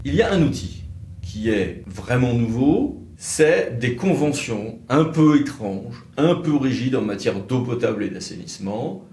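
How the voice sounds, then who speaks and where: A man giving explanatory legal commentary as an expert presenter, halting at times, with wry, sceptical asides. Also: medium pitch, animated, a little mellow. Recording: roomy echo, faint background noise.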